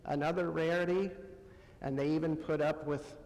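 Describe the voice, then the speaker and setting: Halting male preacher in a reverberant hall. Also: a little high, fairly even intonation, darkish tone. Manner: halting, pausing often between short phrases. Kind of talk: conversational sermon. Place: church sanctuary, amplified to a congregation.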